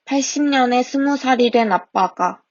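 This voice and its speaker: A woman in her twenties, a student podcast host, reading her own personal essay aloud in a soft, reflective way, now and then stopping to correct a word. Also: high-pitched, moderate pace, no room sound. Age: 20s. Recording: dry, dull lo-fi home mic.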